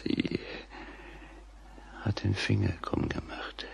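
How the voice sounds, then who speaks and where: An actor playing a drunken, bewitched bridegroom in a German radio play, husky and halting, theatrical and agitated. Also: lightly acted. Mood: desperate, frantic. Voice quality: husky, gravelly. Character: drunken, bewitched bridegroom, mocking then desperately frightened.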